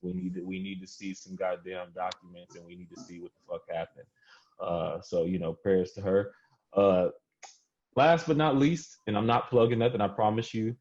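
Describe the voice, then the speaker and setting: A man's voice: low voice, steady tone, moderate pace, darkish tone. A man talking casually and slangily as a podcast co-host, often padding his phrases, on dull lo-fi audio. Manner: slangy, peppers talk with "you know".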